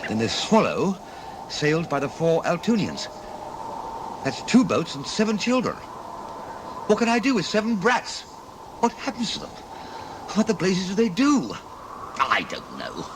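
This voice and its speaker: An adventurous, grumbling writer of middle age in a radio drama. His fairly high voice swings widely in pitch as he blusters and mutters to himself, with loud ambient noise around him. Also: huge pitch swings, measured pace, long pauses, heavily acted. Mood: grumbling, musing. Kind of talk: radio play acting. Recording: noisy outdoor sound effects behind.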